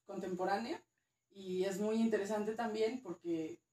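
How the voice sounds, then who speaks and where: A woman's voice: mid-range voice, unhurried, mellow, echoey room, recorded from a distance. A woman with a Mexican accent, a guest archaeologist chatting casually, hesitant and repeating words now and then. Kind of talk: casual interview chat.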